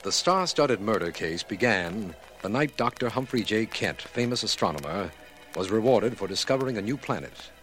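An actor playing a district attorney who narrates a murder case in scripted 1940s radio style. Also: male voice, lowish voice, lively intonation, normal speed, a bit bright, rough recording. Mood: dramatic, expository. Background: music.

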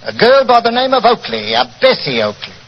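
Earnest English police inspector, lively pitch. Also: male voice, fairly quick, creaky, heavily acted. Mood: earnest, reporting. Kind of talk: 1940s radio acting. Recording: dull 1940s radio broadcast with hiss.

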